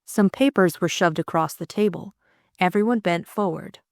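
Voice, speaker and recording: A woman's voice: on the low side, moderate pace, no room sound, clean studio sound. A woman reading scripted story narration as an audiobook narrator.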